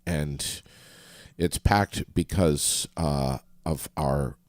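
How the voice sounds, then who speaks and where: Stuffed-up deep-voiced radio host. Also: male voice, drawn-out words, no room sound, clean studio sound. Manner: loose and profane, joking. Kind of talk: casual radio chat.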